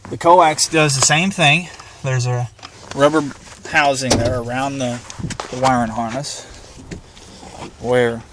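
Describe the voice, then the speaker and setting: A man with a medium-pitched voice presents a casual how-to demonstration. He talks in plain, colloquial language, explaining each step as he goes without a script. The recording carries faint background noise. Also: steady tone, slow pace, long pauses. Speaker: presenter of a hands-on installation guide.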